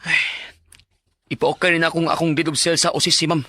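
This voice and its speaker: A man's voice: a little high, little pitch movement, a little fast, bright, forceful tone, dry recording. An actor with a Cebuano Filipino accent playing a pushy, defensive man in a radio drama. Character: pushy, defensive man claiming a disputed land sale.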